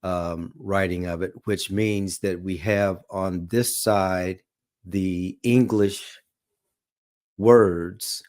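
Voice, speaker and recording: A man's voice: deep voice, fairly even intonation, a little mellow, no room sound, studio quality. A man teaching a Bible study lesson, reading scripture aloud and checking in often with "okay?" and "all right?". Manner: reads scripture aloud slowly.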